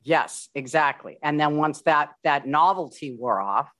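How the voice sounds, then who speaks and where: Affirming male interviewer, fairly high voice. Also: animated, a little slow, a bit bright. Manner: affirming, frequent short agreements. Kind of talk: supportive interview questioning.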